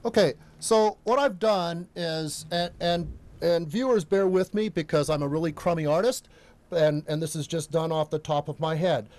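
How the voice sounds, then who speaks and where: A man's voice: fairly high pitch, normal speed, darkish tone. A middle-aged American man, a geologist guest, explaining earthquakes in a local TV studio with earnest restarts and self-deprecating asides. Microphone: desk mic.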